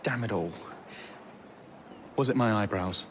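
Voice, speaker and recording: An actor playing a proper, exasperated police officer with an earnest, grumbling manner in a video game cutscene. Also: male voice, lowish voice, unhurried, lightly acted. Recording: noisy ambience behind voice.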